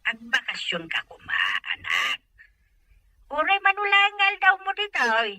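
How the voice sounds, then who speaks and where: A man's voice: very high voice, huge pitch swings, moderate pace, sharp tone, no room sound, pitched above the performer's own voice, exaggerated voice. A man voicing a doting, wheedling older village father in an amateur radio-style drama. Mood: affectionate longing.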